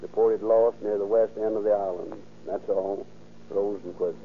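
A man's voice: on the low side, moderate pace. A man reading a clipped, official police bulletin over the radio as a dispatcher. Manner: flat, clipped, official monotone. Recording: tinny police radio, faint noise.

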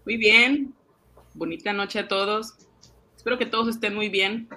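Bright, sharp-voiced woman guest. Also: medium pitch, steady tone, a little slow. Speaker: guest panelist. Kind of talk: casual chat. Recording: faint background noise.

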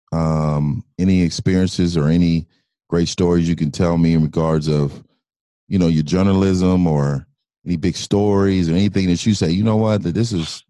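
A podcast host with a very deep voice asks his questions in a relaxed, slangy way. His tone is dark and mellow. The sound is dry and close, with no room around it. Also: dry recording. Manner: laid-back questions, slangy asides. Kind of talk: casual interview.

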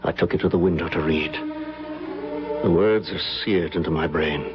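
An actor playing a distraught, injured young man in love in an old-time radio drama, speaking intensely and haltingly. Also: low-pitched, close to an everyday voice. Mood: grim, intense. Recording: dull vintage radio recording with music.

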